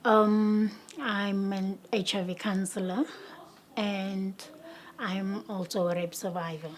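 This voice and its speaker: A mid-pitched woman in her forties speaks to camera. She talks in a measured, matter-of-fact way. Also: steady tone. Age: 40s. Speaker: interviewee. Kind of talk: personal testimony to camera.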